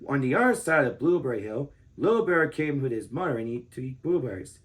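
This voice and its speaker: A man of thirty reading a children's book aloud as an expressive storyteller. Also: mid-range voice, a little fast.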